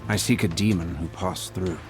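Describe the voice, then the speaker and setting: An actor playing a stern male wanderer in a dark fantasy game, speaking in a grave, terse way. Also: low voice, normal speed, over music, close to an everyday voice.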